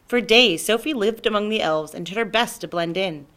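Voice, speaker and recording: A woman narrating a children's story aloud in an expressive storytelling style. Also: mid-range voice, normal speed. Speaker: storybook reader.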